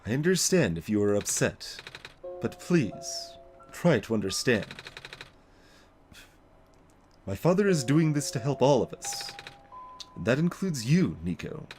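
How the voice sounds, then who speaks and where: A man voicing an earnest, apologetic young game character who explains things gravely in a measured, hesitant way. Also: medium pitch, darkish tone. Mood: pleading, subdued. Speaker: let's play voice-over reader. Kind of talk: acted reading of game dialogue.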